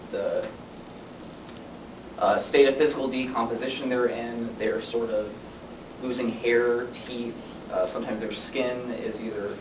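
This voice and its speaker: Young man giving a speech, echoey and distant. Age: young adult. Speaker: student speaker. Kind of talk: informative class speech. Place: classroom. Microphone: distant camera microphone. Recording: distant mic, echoey room, noisy rumble.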